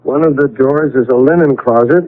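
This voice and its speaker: An actor playing a good-humored, wry young American husband in a radio drama. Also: male voice, mid-range voice, normal speed, natural voice. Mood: amused, agreeable. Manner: joking, wry. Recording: old radio broadcast, narrow band.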